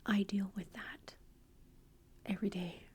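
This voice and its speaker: A woman, a mother, telling a story about a movie in a soft, chatty whisper full of fillers. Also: medium pitch, flat delivery, measured pace, slight background noise.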